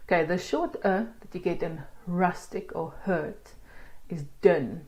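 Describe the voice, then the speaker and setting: South African woman teaching pronunciation, lowish voice, slow and deliberate, friendly and self-laughing. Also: darkish tone. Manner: demonstrates sounds slowly, laughs at herself. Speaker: language teacher. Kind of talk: casual spoken lesson.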